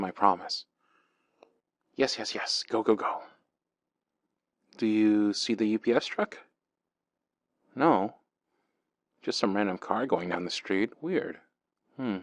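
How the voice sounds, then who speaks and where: Slow, low-voiced man in intimate roleplay. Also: no echo. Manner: soft, intimate and teasing. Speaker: boyfriend in a roleplay. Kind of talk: ASMR roleplay.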